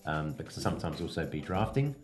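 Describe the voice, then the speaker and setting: A man's voice: extremely low pitch, big pitch swings, fast. A man giving an informal, explanatory coaching talk as a podcast host, plain-spoken with hesitant phrasing and casual asides. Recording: music behind the voice.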